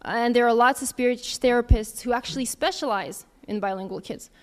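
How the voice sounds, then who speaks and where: High-voiced woman fielding questions. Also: normal speed. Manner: candid, conversational, thinks aloud with fillers. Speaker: speaker answering audience questions. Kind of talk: casual Q&A talk.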